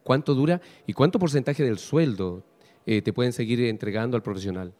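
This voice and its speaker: A man's voice: medium pitch, a little fast, darkish tone. A Chilean man hosts a radio interview in a mid-range voice. He asks long, probing questions that wander along and are padded with fillers. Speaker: radio host. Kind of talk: interviewing a guest.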